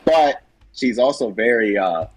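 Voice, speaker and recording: A man chatting casually about a character in an informal, halting way. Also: medium pitch, lively intonation, husky, dry recording. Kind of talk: casual chat, character discussion.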